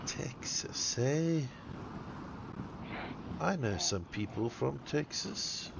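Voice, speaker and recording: South African man, rambling crude streamer chat, slow easygoing delivery, muffled lo-fi sound with constant background noise. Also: mid-range voice, animated, vocal fry. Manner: rambling and crude, swears freely. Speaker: live streamer. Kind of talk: casual live-stream chat while gaming.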